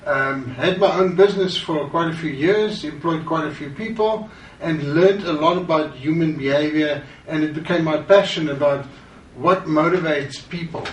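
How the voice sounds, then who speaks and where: A man's voice: on the high side, normal speed. Man, echoey and distant. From South Africa. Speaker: course co-presenter. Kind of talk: course introduction. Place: studio with green-screen backdrop. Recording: roomy, distant, faint hiss.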